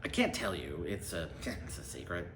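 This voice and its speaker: A man's voice: low-pitched, very animated, moderate pace, reverberant, background noise, voice pushed down. A man voicing a shifty, scheming knight with a sly, theatrical delivery for a storybook. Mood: sly, secretive. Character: shifty, scheming male knight spreading secrets.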